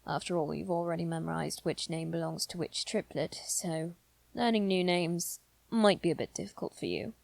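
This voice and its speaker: A devoted male demon triplet, played by a woman, speaks softly and formally with a low, creaky voice. The delivery is measured and possessive, made for an intimate ASMR roleplay. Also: fairly even intonation, no room sound. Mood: gentle, explaining. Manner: soft, measured, deliberate, sometimes near whisper. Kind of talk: scripted ASMR roleplay acting.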